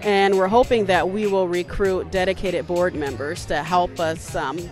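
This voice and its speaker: A woman, a nonprofit project director, giving a measured, grateful testimonial. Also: fairly low pitch, fairly even intonation, a little mellow, soft background music. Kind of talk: short testimonial interview.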